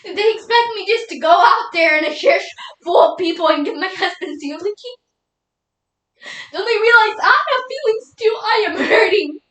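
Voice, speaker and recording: High-voiced grieving young widow, acted by a woman. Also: expressive, sharp tone, exaggerated voice. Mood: anguished pleading. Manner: halting, emotional, pleading. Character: grieving young widow, tearful and doubting God. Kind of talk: solo dramatic interpretation monologue. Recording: echoey room.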